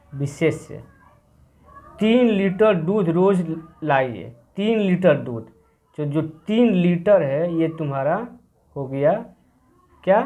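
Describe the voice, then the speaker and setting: Man, schoolteacher, fairly high voice, asks and answers his own questions, repeats key words, mixes in english words. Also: warm tone. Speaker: teacher. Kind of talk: explaining a grammar workbook to children.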